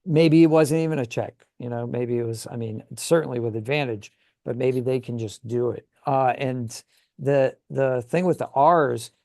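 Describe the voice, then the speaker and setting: A man's voice: mid-range voice, moderate pace, dark tone, dry recording, clean studio sound. A man co-hosting a gaming show, talking casually and enthusiastically as he thinks aloud. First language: English. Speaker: co-host of a tabletop gaming show. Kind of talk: casual conversational chat.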